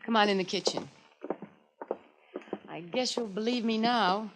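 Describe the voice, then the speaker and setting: An American actress playing an anxious, high-strung young woman in a 1950s radio drama, speaking in a nervous, halting, earnest way. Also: background noise, natural voice. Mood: uneasy, alarmed.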